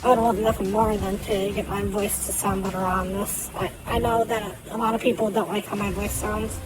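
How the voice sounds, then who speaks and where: A woman's voice: mid-range voice, little pitch movement, normal speed, low-quality recording. A woman streamer talking candidly through a voice modifier on a cheap mic while playing a card game, with many fillers. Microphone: cheap desk mic. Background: game music.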